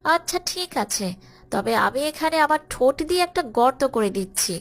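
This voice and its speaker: A woman voicing an anxious, protective cartoon mother hen who scolds fretfully but has a soft heart. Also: high-pitched, expressive, a little fast. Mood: fretful, caring. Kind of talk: children's cartoon voice acting.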